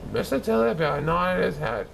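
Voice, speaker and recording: A man voicing an excitable, childlike cartoon sea sponge, eagerly reading a children's storybook aloud and stumbling over words. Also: sits high, normal speed, creaky, a little hiss or hum, exaggerated voice. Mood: bubbly storytelling.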